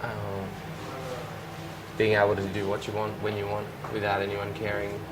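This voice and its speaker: A young Australian man, a fashion model, answering interview questions in a relaxed, hesitant way with many restarts. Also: lowish voice, moderate pace. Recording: loud ambient noise behind.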